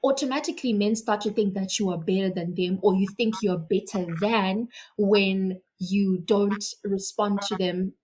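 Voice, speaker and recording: Animated woman from Zimbabwe. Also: medium pitch, measured pace, few pauses, rough recording. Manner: animated storytelling with repeated restarts. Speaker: podcast guest. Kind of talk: casual conversation.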